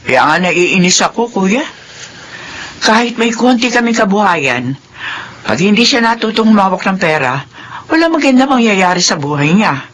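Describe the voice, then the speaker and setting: A woman's voice: medium pitch, big pitch swings, normal speed, a bit bright, a little hiss or hum. A scolding, exasperated mother. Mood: weary. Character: strict worried mother of grown children. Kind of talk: classic radio drama acting.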